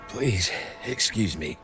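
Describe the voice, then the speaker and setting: A man's voice: fairly low pitch, gravelly, exaggerated voice. A man playing a frail, starving, grateful refugee in a video game, weak and breathless.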